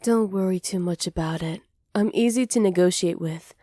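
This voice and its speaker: Soft, mellow, flirty witch acted by a woman. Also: on the low side, moderate pace, no room sound, clean studio sound, natural voice. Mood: flattering, reassuring. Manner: soft-spoken, teasing, flattering, partly whispered. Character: flirty, hospitable witch potion seller, sly and self-assured. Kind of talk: ASMR roleplay, slow scripted acting.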